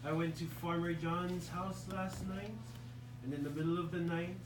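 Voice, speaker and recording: A woman playing a sly, smooth-talking fox. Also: fairly even intonation, close to an everyday voice. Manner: oily, charming, low and slow. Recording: echoey room, far mic, noisy.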